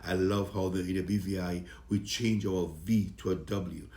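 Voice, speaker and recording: Vincentian comedian guy, real low voice, jovial and rambly, echoey room. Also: steady tone, moderate pace, a little mellow. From Saint Vincent and the Grenadines and the British Virgin Islands. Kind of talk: casual self-introduction to fans.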